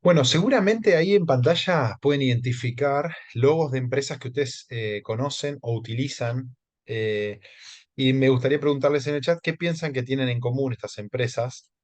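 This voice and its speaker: A man's voice: medium pitch, normal speed, dry recording. A man from Argentina with an Argentine accent, presenting a live webinar, speaking conversationally with frequent hesitations and questions to the audience. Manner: conversational, hesitant with many fillers, keeps asking the audience.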